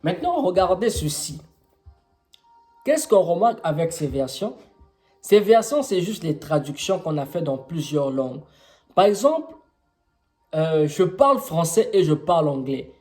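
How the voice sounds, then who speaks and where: Mellow-voiced Congolese man explaining. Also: fairly high pitch, big pitch swings, measured pace, long pauses, faint music behind. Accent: Congolese French. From Congo-Brazzaville. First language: Kituba. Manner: explanatory, with rhetorical questions and many fillers. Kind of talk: informal teaching testimony.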